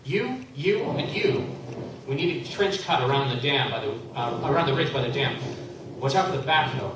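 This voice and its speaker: An actor playing a decisive tinker leading the townsfolk in a live radio play, brisk and commanding. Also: male voice, mid-range voice, expressive, lightly acted. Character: decisive tinker, steady leader. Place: convention hall. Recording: very echoey hall, from far off, noisy.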